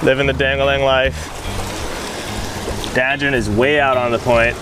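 A man's voice: mid-range voice, flat delivery, unhurried. Laid-back fisherman on the shore. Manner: laid-back surfer slang. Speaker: fishing vlogger. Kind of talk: casual on-camera narration. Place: rocky shoreline outdoors. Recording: loud wind and surf noise.

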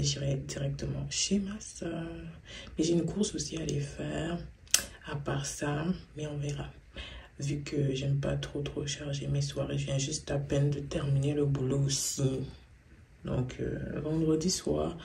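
Chatty young woman with a gravelly, mellow voice. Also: extremely low pitch. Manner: chatty, rambling, drops to whispers. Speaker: vlogger. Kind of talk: casual vlog chat to camera. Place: bathroom, car and grocery store. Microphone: phone camera, held or on dashboard. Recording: phone audio with car and store noise.

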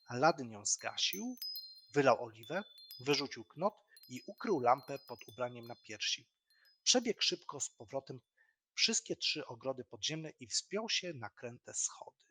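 A man narrating a fairy tale from a script in an expressive storytelling style. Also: medium pitch, measured pace, husky.

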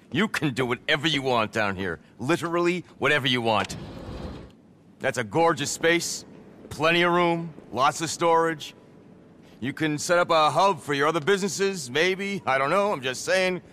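An actor playing a vain, frazzled middle-aged nightclub impresario in a video game, theatrical and foul-mouthed. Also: male voice, fairly high pitch, moderate pace, sharp tone, slight background noise. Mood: brisk, upbeat. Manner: flamboyant, theatrical, profane. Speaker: nightclub owner. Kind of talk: video game cutscene acting.

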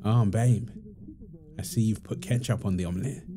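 A man's voice: fairly low pitch, moderate pace, crowd noise, lightly acted. A man playing a playful, doting young father in an ASMR roleplay, teasing and affectionate with his family. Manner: teasing, affectionate, laughing easily. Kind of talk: ASMR roleplay, improvised casual talk.

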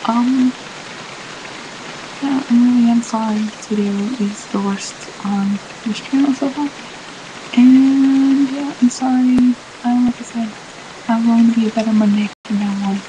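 A woman's voice: a little high, fairly even intonation, unhurried. A teenage girl vlogging from her bedroom into a laptop webcam, rambling and apologizing, full of ums and restarts. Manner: apologetic, rambling, many fillers. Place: small bedroom indoors. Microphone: laptop webcam mic. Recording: noisy webcam recording with hiss.

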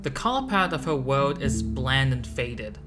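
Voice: mid-range voice, very animated, normal speed. Casual video essay narrator over music. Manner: casual, joking, with playful exclamations. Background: music behind the voice.

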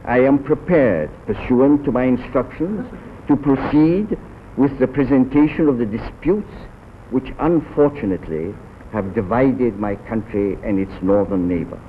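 A middle-aged man reading a formal diplomatic statement in a measured, deliberate way. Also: mid-range voice. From Iran. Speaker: diplomat, ambassador. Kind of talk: formal prepared statement read aloud. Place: large council chamber. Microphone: table microphone. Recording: tinny, noisy 1940s newsreel archive.

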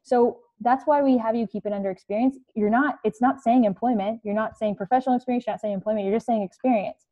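A woman's voice: fairly high pitch, steady tone, quick pace, few pauses, narrow, tinny audio. A young woman coaching students on a video call from her living room, chatty with many fillers. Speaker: career coach. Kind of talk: casual online presentation. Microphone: earbud headset on video call.

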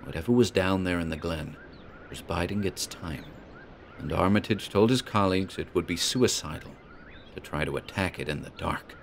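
A man narrating a horror story in a slow, measured, ominous way. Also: deep voice, slight background noise.

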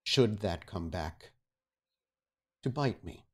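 A man playing a stern, commanding vampire prince in a roleplay game, speaking formally and with measured authority. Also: fairly low pitch, expressive. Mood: stern, menacing. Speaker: game master. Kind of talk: tabletop roleplay acting.

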